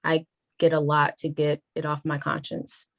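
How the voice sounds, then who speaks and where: A woman playing a wary, frank government attorney in a naturalistic script read, measured and confiding. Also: sits low, completely flat delivery, gravelly, fairly bright tone. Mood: frank, analytical.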